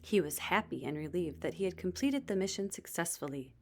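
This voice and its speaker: A woman reading a children's book aloud as expressive scripted narration. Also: on the low side, fairly quick, slight background noise. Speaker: storybook reader.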